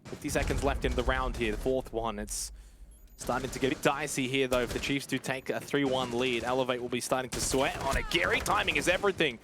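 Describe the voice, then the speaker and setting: A man's voice: medium pitch, background noise. A man giving analytical live commentary on an esports match. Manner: analytical, measured play-by-play. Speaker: esports caster.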